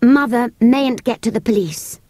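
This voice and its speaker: A woman voicing an earnest, bold young girl in a storybook, with an eager, coaxing and gentle manner. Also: on the high side, animated, normal speed, no room sound, voice pushed up. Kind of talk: storybook character voice.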